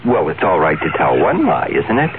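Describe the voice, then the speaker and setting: A woman poses curious questions in a radio spot. Her voice is extremely low and lively in intonation, heard on a narrow-band broadcast. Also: normal speed, noisy background.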